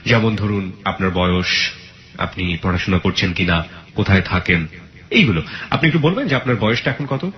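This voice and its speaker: A man's voice: low-pitched, lively intonation, a little fast, pauses often, echoey room, highs cut off. A male radio host interviewing a guest, probing and repeating her answers back.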